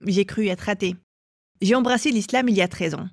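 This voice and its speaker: A woman's voice: mid-range voice, a little fast, no echo, clean studio sound. A woman from England telling her own life story as an interview guest. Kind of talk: personal testimony in an interview.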